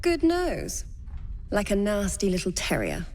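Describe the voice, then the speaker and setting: A woman's voice: medium pitch, wildly swooping pitch, a little slow, darkish tone. A woman playing a poised, earnest young cleric with a wry streak in a fantasy video game. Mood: earnest, guiding. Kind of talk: dramatic video game acting.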